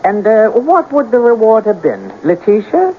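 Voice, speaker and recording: A stubborn, lovelorn young man, skeptical and exasperated. His voice is fairly high and very animated, heard through a thin, noisy 1970s broadcast. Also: moderate pace, narrow, tinny audio. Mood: stubborn, resigned. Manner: grumbling, protesting, earnest. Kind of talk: 1970s radio drama acting. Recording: thin, noisy old radio broadcast recording.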